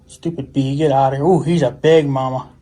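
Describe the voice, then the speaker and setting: A man's voice: medium pitch, fairly even intonation, a little fast, dark tone. A man chatting casually off the cuff, rambling and thinking aloud as he vlogs about a hands-on job, with some mild swearing. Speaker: vlogger. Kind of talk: casual off-the-cuff DIY vlog chat.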